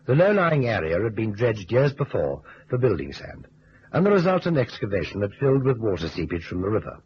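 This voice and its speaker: An actor playing a composed detective who narrates and questions a client in a vintage radio drama, muffled with hiss. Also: male voice, on the low side, very animated, a little fast. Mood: composed, storytelling.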